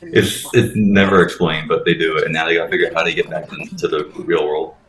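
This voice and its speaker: A man's voice: rumbling low, level tone, fast, reverberant, a crowd in the background. A young man giving casual, irreverent game commentary, explaining things as he goes. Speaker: gameplay commentator.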